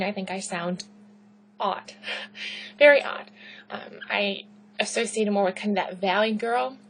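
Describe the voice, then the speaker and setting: A woman teaching a recorded class, loud and pitchy in her own words, with a chatty lecturing rhythm full of "right?" and rhetorical questions. A faint hum sits under her voice. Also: rough recording. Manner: frequent "right?" and "okay" check-ins. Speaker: instructor.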